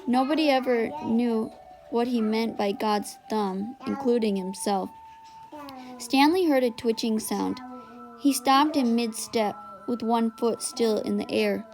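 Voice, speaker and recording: Higher-pitched woman reading a storybook aloud. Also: a little slow, darkish tone, soft background music, poor audio. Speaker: read-aloud narrator. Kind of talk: audiobook-style reading of a children's novel.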